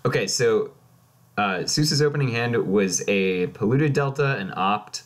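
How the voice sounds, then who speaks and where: Low-voiced man commentating a card game. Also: measured pace. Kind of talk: casual live play-by-play commentary.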